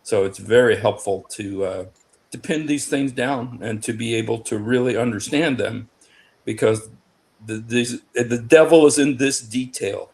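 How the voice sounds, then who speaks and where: A man talks in a casual online panel with an everyday mid-range pitch. He is emphatic and excitable, tripping over his words and repeating phrases as he pushes his point. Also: measured pace. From United States. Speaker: panel guest.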